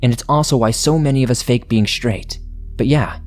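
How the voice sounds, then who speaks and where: A man narrating listener-submitted horror stories in the first person, in a steady, measured reading. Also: mid-range voice.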